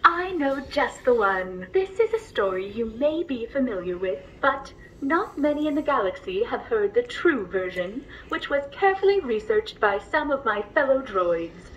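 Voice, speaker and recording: A woman's voice: on the high side, big pitch swings, moderate pace, hardly any pauses, highs cut off, a little hiss or hum. A woman playing an earnest, polite logistics droid who speaks formally and tells bedtime stories to a passenger. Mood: eager, polite. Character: earnest, grateful female logistics droid, eager bedtime storyteller. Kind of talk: scripted character dialogue and storytelling.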